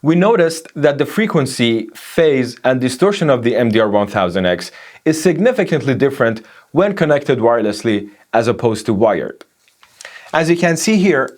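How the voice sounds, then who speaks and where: A man narrating a scripted technical audio review in an explanatory, measured manner. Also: medium pitch.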